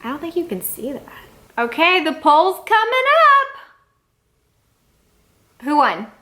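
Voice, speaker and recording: A woman's voice: sits high, big pitch swings, slow, sharp tone. A woman vlogger talking informally to her viewers, rambling a little and thinking aloud. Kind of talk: casual vlog chat to viewers.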